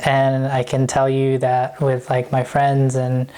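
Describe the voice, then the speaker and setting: A man's voice: mid-range voice, monotone, slow pace, mellow, smooth. A young man from San Jose, California, speaking in a laid-back, hesitant way with many fillers. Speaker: first-time voter interviewee.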